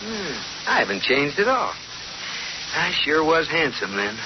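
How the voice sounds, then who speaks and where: Animated, wry radio sitcom husband. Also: male voice, a little high, measured pace. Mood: fond. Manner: wry, expressive comic delivery. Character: exasperated, jealous but fond sitcom husband. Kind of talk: 1940s-50s radio sitcom acting. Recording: noisy, muffled old radio broadcast recording.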